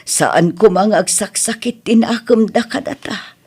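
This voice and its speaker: A woman's voice: mid-range voice, unhurried, a little mellow, breathy edge. A woman playing an aging mother, strict, emotional and protective, in an Ilocano family drama. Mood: wistful. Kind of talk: Ilocano family radio-style drama acting.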